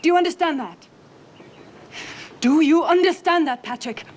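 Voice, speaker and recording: Woman acting exasperated mom, high stern voice, film drama, slight background noise. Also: rapid-fire, darkish tone, close to an everyday voice. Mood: scolding. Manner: stern, insistent. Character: exasperated, scolding mother of a young boy.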